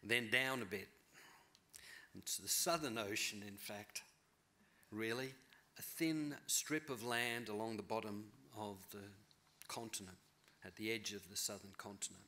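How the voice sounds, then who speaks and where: A middle-aged man giving a keynote lecture from a podium in a large auditorium, thoughtful and measured, often rephrasing himself. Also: lowish voice, bright, forceful tone. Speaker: keynote speaker, novelist. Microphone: podium mic.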